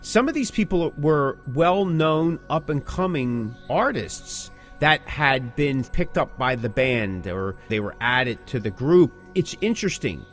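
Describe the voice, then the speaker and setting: Man in lively, emphatic podcast talk. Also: medium pitch, unhurried, hardly any pauses, soft background music. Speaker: podcast co-host and music interviewer. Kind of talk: conversational music industry podcast talk.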